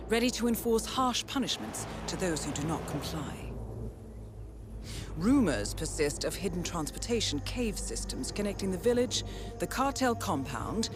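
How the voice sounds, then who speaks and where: A cool, composed handler. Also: female voice, lowish voice. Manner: measured, precise, composed. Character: calm female intelligence handler, briefing an assassin. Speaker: mission handler. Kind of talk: mission briefing in a video game. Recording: game audio over loud music.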